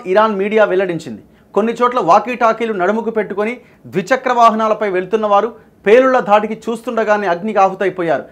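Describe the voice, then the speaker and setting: A man with a Telangana accent reading news and explaining it emphatically, putting rhetorical questions to viewers. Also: sits high. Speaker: news presenter.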